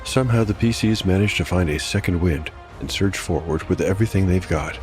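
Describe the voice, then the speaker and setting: Very deep-voiced man narrating over music. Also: fairly quick, a little mellow. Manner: wry asides and mild exclamations between narration. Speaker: game master and narrator of a solo tabletop game. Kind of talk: dramatic narration of tabletop game play with dice commentary. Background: background music.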